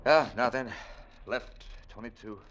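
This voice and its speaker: Nervous frightened man acted, forceful tense tone, stammering, pleading, 1940s radio drama, slightly noisy recording. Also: medium pitch, a little slow, natural voice. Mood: shaky, nervous. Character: panicky, trembling, cornered male embezzler.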